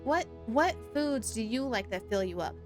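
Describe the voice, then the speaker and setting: A woman's voice: on the high side, lively intonation, moderate pace, soft background music. A woman live streamer chatting casually and playfully with her viewers.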